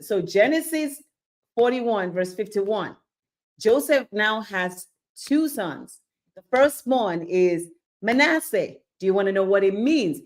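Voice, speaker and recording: A woman from Nigeria giving a sermon-style Bible teaching, asking rhetorical questions, acting out little scenes and checking in with "okay?". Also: mid-range voice. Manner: animated, keeps checking in with "okay?".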